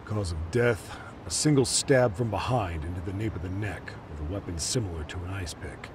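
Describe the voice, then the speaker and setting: An actor playing a gruff, jaded, foul-mouthed middle-aged police detective in an English game dub. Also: male voice, low-pitched, normal speed, noisy background, natural voice. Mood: businesslike.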